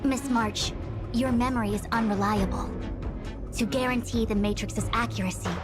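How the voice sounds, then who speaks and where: A composed young female diviner from a video game, played by an actress. She speaks with formal, scholarly precision and measured phrasing, while music plays clearly behind her. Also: on the high side, fairly even intonation, natural voice. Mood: composed, instructive. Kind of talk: scripted video game dialogue. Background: background music.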